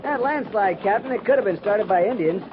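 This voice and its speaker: An actor playing an eager, deferential junior cavalry lieutenant in a 1950s radio western. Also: male voice, sits high, expressive, fairly quick. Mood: uneasy. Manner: keen, deferential. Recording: muffled, clearly noisy 1950s radio recording.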